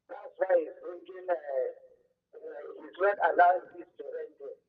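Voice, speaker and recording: A man's voice: fairly high pitch, unhurried, husky, distant mic. A man with a Nigerian accent giving a spontaneous opinion as a caller to a radio show over a phone line.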